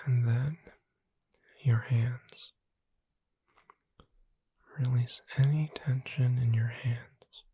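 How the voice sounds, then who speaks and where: A woman playing a gentle witch bookseller in a breathy, soothing, whispered ASMR sleep roleplay. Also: a bass voice, steady tone, no room sound, heavily acted. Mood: calm, soothing. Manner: slow, soothing, deliberate. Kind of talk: soft-spoken ASMR sleep roleplay, guided relaxation.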